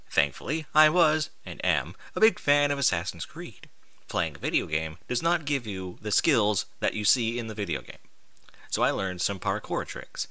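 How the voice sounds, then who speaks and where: A man's voice: mid-range voice, bright, forceful tone, dry recording. A man reading a story aloud with exasperated, sarcastic, sweary commentary. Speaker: host reading and mocking amateur fan fiction aloud.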